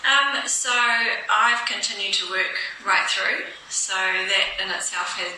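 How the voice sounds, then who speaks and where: Earnest New Zealand nurse manager, bright tone. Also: female voice, medium pitch, slow pace. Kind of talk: casual interview. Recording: echoey room, distant mic, noise.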